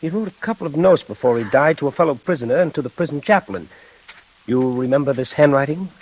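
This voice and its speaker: Actor as a husky-voiced, patient prison warden. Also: male voice, on the high side, quick pace, a little mellow, natural voice, low-quality recording. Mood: grave, gentle. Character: patient, reassuring, authoritative male prison warden. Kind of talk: old-time radio drama acting.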